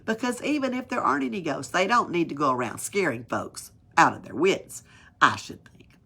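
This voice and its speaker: A woman's voice: on the low side, lively intonation, moderate pace, vocal fry, sharp tone, husky, exaggerated voice. A woman voicing a bold, cheeky young girl in a storybook, playful and full of confidence. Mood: cheerful, confident. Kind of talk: storybook read-aloud.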